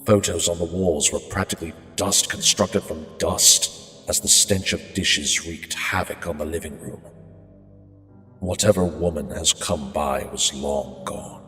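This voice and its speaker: A man playing an unhinged murderer narrating his haunted tale in a brooding, halting, theatrical horror monologue. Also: low-pitched, bright, forceful tone. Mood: brooding, musing. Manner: brooding, halting, slow, theatrical prose delivery. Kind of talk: dark dramatic horror monologue. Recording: echoey reverberant hall sound, music behind.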